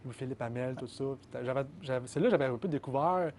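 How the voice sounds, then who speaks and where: A man's voice: medium pitch, fast talker, darkish tone, a little hiss or hum. A man with a Québécois French accent hosting a casual interview, chatty and often finishing his guest's sentences. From Quebec. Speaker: podcast host.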